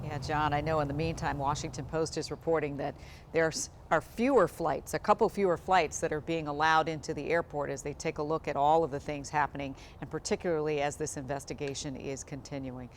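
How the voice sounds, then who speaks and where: Low-pitched woman anchoring the news. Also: fairly even intonation, fairly quick, slight background noise. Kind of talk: broadcast news delivery.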